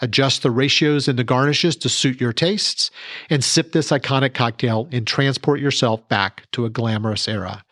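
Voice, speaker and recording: A man presents a scripted how-to segment for a podcast. His voice sits at a medium pitch, and the sound is close and dry, with no room around it. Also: normal speed, fairly bright tone, dry recording. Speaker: podcast cocktail segment reporter. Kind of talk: instructional scripted narration.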